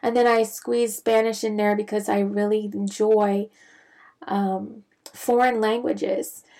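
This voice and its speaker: A young woman from the Bahamas, a physician, telling her career story in a reflective, measured way with frequent fillers. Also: mid-range voice, level tone, warm tone. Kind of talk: casual personal storytelling.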